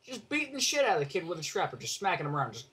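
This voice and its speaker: A man telling a casual story over an online voice call, blunt and sweary, in halting phrases. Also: high-pitched, wildly swooping pitch, fairly bright tone. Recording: noisy online call with clicks.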